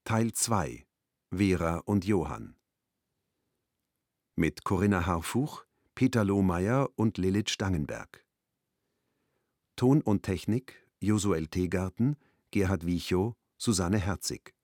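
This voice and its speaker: A man announcing radio play credits in a measured, formal way. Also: deep voice, expressive, a little mellow, no echo, studio quality.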